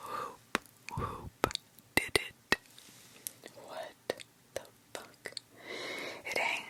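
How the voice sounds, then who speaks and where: Slow, bright-whispering woman. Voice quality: whispered throughout. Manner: reacts with mild swearing and disbelief between stories. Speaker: ASMR storyteller reading scary stories. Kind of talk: ASMR.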